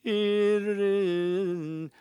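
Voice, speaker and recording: A woman delivers an old lament at a very slow pace, solemn and drawn out. Her voice is exceptionally smooth and resonant, set in a dry, close recording. The style is lyrical and mournful. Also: medium pitch, fairly even intonation, mellow, no echo.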